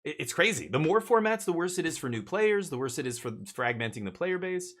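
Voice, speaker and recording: A man's voice: a little high, big pitch swings, fairly quick, a bit bright. A man from Canada chatting casually on a live stream while gaming, opinionated and blunt, swearing and stumbling over repeated words as he rants.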